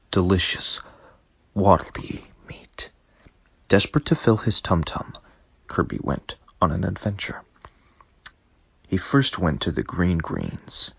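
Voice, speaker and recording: A man's voice: sits low, breathy edge, dry recording, highs cut off. A man reading his own fanfic aloud as dramatic storytelling, sometimes dropping into whispers. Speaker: fanfic reader.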